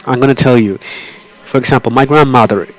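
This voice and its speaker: A man interpreting a conference talk live, in a halting way with frequent restarts and self-corrections. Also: medium pitch, rough recording.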